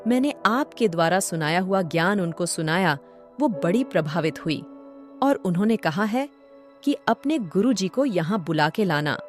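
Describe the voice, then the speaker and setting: A woman's voice: lowish voice, expressive, normal speed, faint music behind, lightly acted. A woman playing a devout, respectful disciple and queen's companion in a devotional cartoon story, speaking earnestly. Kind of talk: devotional animated story acting.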